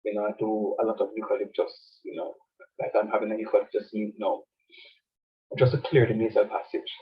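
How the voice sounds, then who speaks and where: A man's voice: lowish voice, level tone, a little fast, breathy edge, highs cut off. A man teaching a math lecture, nasal and stuffed up from a cold. He repeats his points and keeps checking that the class follows him. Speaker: university lecturer.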